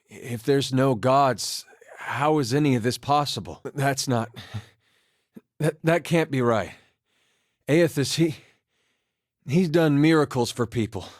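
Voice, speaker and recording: An actor playing a wry, easygoing farmer and war veteran with a folksy, understated way of talking, in a video game. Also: male voice, lowish voice, dry recording, studio quality, lightly acted. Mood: shaken, disbelieving. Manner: folksy, understated, dryly humorous, drops words. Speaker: video game companion character. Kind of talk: video game voice acting, conversational dialogue.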